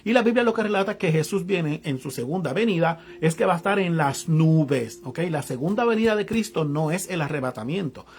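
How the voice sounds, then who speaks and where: A man's voice: a little high, lively intonation, brisk, few pauses, darkish tone, a little hiss or hum. A man with a Puerto Rican accent preaching a sermon, reading Bible verses aloud and explaining them in casual everyday slang. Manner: reads verses aloud then explains them in casual local slang, greets online viewers. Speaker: evangelist preacher.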